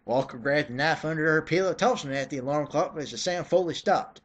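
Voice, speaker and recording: A mid-pitched voice performs a mock dramatic reading of fan fiction. The delivery is theatrical and put-upon, with an exasperated edge. Sarcastic commentary is slipped in between the narrated sentences. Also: quick pace. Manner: theatrical, exasperated, breaks off for snarky remarks.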